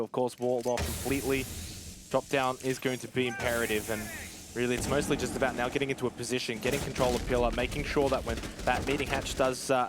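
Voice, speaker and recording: A male esports caster delivers live play-by-play in a mid-pitched voice. Clear game noise runs behind him, with gunfire and clicks under the commentary.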